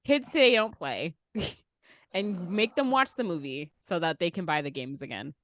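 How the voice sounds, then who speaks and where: A woman's voice: on the low side, expressive, moderate pace, a bit bright, dry recording, clean studio sound. A woman hosting a casual panel chat, speaking informally and asking plenty of questions. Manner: conversational, informal, inquisitive. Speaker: podcast host.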